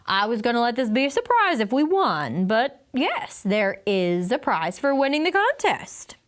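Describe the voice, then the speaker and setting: A woman voicing a weary, patient male first-grade teacher in a children's storybook, measured and explanatory. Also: a little high, sing-song. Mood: pleased. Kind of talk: children's storybook read-aloud.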